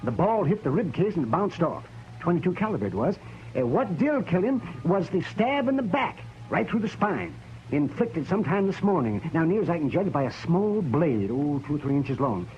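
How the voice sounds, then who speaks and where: An actor playing a fussy, folksy Western town doctor in a radio drama, hesitant and rambling but matter-of-fact. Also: male voice, a little high, sing-song, moderate pace. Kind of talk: 1950s radio drama acting. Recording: muffled, faintly noisy vintage radio recording.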